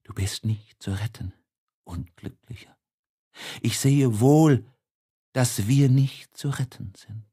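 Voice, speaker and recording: A man voicing a passionate, tormented young man in an audiobook, speaking slowly in hushed anguish. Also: medium pitch, breathy edge. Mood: hopeless, anguished. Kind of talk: literary audiobook monologue.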